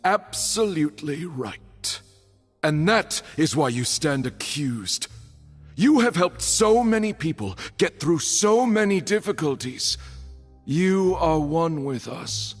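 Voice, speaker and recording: A man's voice: on the high side, sing-song, slow pace, exaggerated voice. A man playing a grandiose, fervent judge in a video game, speaking in formal, emphatic declamation. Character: theatrical judge, grandiose and fervent, devoted townsman. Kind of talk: scripted video game voice acting. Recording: long courtroom reverb, music behind.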